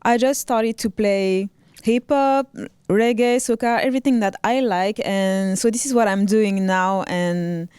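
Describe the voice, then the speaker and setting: Smooth-voiced French-accented woman. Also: mid-range voice, measured pace, no room sound. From Reunion Island, raised in Paris. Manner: soft-spoken, many ums and restarts. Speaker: guest violinist. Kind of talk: casual interview chat.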